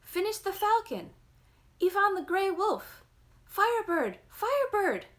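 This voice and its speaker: Storybook little boy, played by a woman reader: high, eager, exclaiming, impatient for stories. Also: big pitch swings, unhurried, full character voice. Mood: clamoring. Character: excited small boy and clamoring children.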